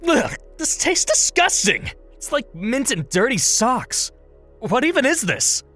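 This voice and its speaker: A man's voice: extremely high pitch, sing-song, unhurried, pushed and bright, faint music behind, full character voice. A man playing an anxious, fussy young student, polite and worried, in a comedy audio drama. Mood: disgusted.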